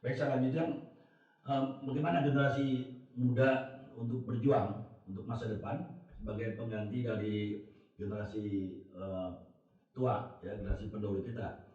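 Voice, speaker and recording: Brief: male talk show host from West Java, measured and formal, frequent throat clearing, echoey studio, a bit off-mic. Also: lowish voice, fairly even intonation, darkish tone, far from the mic, dull audio. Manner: measured, clears throat often, sums up guests' points. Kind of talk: formal moderated discussion.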